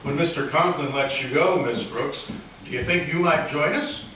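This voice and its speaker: A man's voice: on the high side, normal speed, a bit bright, close to an everyday voice. A man playing a mild, polite, naive schoolteacher, earnest and guileless, in an old-time radio sitcom style. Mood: friendly, mild. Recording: echoey live hall, distant mic, dull, hissy.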